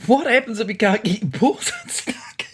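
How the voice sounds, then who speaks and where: A woman's voice: fairly high pitch, expressive, moderate pace, breathy edge, pitched above the performer's own voice. A woman voicing a pompous, opinionated male cat in a storybook, talking with mocking, derisive amusement.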